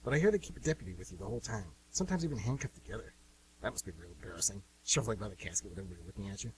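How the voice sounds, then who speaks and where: Man acting fast-talking cocky young jailbird, distant mic. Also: on the low side, expressive, a little mellow, close to an everyday voice. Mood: bummed, rueful. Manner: flippant, slangy, casual. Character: cocky, slangy young male jail inmate, flippant. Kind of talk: radio play monologue. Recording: distant mic with light hiss and hum.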